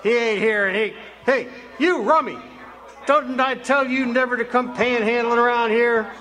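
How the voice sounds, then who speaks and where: Man acting a hot-tempered, high-pitched bartender. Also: steady tone, moderate pace, pushed and bright. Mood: irate. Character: gruff, hostile, short-tempered barroom bartender. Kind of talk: live radio drama acting. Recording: live stage recording with audience noise.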